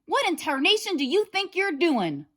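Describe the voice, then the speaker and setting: A woman plays a feisty old country granny from a storybook. The voice booms out high and indignant, bossy and folksy as it scolds. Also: huge pitch swings, moderate pace, fairly bright tone, exaggerated voice. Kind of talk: children's storybook character reading.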